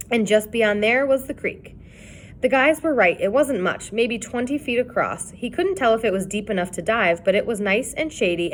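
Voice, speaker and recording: A woman reading a children's chapter book aloud in an expressive storytelling style. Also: mid-range voice, a little hiss or hum. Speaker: reader.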